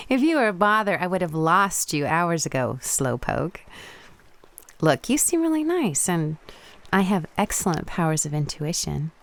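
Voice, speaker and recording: A woman's voice: fairly low pitch, very animated, unhurried. A woman playing a confident, teasing young Japanese woman diver in a radio play. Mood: teasing, warm.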